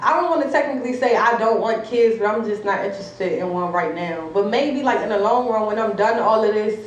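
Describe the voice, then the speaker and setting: A woman of about twenty-two speaks as a guest in a casual, slangy chat, often doubling back on her phrases. Her voice sits at a middling pitch. It is picked up at a distance in an echoey room with faint noise behind it. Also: expressive, a little fast. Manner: informal, slangy, repeats phrases. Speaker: interview guest, rapper.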